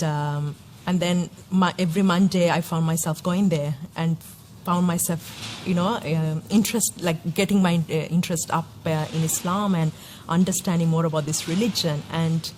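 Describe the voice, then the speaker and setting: Woman from Sri Lanka, low voice, hesitant with fillers, interview, faint background noise. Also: a little mellow. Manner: hesitant, many fillers and restarts. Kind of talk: personal testimony interview.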